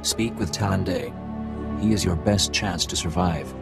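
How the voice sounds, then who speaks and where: An actor playing a solemn alien mystic and husband, speaking in a formal, grave, measured way in a game cutscene. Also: male voice, deep voice, gravelly, exaggerated voice. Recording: game cutscene with loud score. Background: orchestral music.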